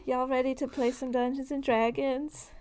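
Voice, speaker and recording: A woman's voice: sits high, level tone, moderate pace, darkish tone, slight background noise. A woman hosting a tabletop game as game master, chatting casually and narrating to her players.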